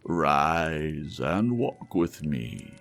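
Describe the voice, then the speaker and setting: A man voicing a calm, commanding ghost of the past, speaking slowly and sternly, raising his voice above his narration. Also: rumbling low, gravelly, full character voice. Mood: solemn. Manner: slow, stern, ringing delivery. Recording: faint music behind.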